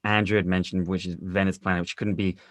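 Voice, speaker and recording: Man, very low voice, dry close sound, hesitant phrasing, casual interview guest. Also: little pitch movement, fast, dry recording. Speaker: interview guest, actor and audio drama director. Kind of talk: casual panel conversation.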